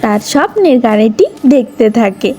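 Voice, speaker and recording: উঁচু সুরের নারী কণ্ঠে গল্প বলা. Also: female voice, fairly high pitch, sing-song, normal speed, mellow. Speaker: narrator. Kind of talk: scripted story narration for a cartoon video. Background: music.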